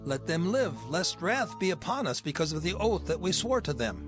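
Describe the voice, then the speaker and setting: A man's voice: fairly high pitch, very animated, normal speed, music in the background. A man playing a firm Israelite leader in a dramatized Bible, solemn and emphatic about a sworn oath. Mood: solemn, insistent. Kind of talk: dramatized Bible audio acting.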